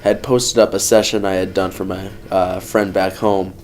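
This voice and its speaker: Low-voiced young photographer from Los Angeles. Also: male voice, level tone, normal speed, darkish tone, slight background noise. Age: young adult. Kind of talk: documentary interview. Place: dark studio indoors.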